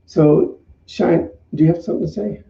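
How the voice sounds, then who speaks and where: A male minister giving an unscripted offering talk and leading affirmations, speaking reflectively and haltingly with pauses. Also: on the high side, gravelly, warm tone. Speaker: minister leading an online service. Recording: dull, echoey, far from the mic.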